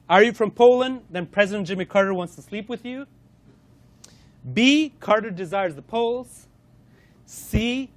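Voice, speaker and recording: A man presenting a corporate lunch-and-learn to a live audience, running a playful quiz and talking with frequent fillers and restarts. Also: high voice.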